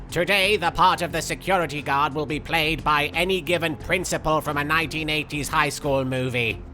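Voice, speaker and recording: A man voicing an excitable, vain cartoon villain, giving theatrical, petulant, jokey commentary on a video game. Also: mid-range voice, lightly acted. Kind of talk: in-character let's play commentary.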